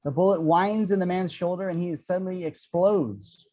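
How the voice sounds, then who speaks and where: A man's voice: high voice, normal speed, warm tone, muffled recording. A man narrating the action descriptions of a screenplay at a table read, in a scripted storytelling style.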